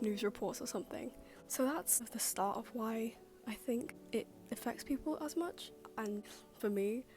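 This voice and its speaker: A young woman giving casual, rambling commentary in an informal, self-deprecating, loosely structured way. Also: mid-range voice. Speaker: YouTube video essayist. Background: background music.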